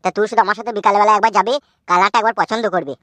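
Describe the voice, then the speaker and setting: A man's voice: high voice, rapid-fire, bright, forceful tone, dry recording. A man voicing a naive, boastful young village lad in a comedy cartoon. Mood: eager, boastful.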